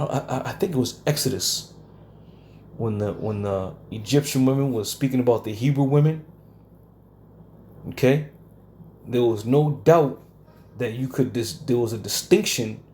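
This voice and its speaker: A man's voice: medium pitch, darkish tone, slight background noise. A man teaching scripture in a combative, scolding way, often checking in with "okay?" and using some coarse language. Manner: combative, scolds listeners, keeps asking "okay?". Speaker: Bible teacher. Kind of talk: home Bible study lecture.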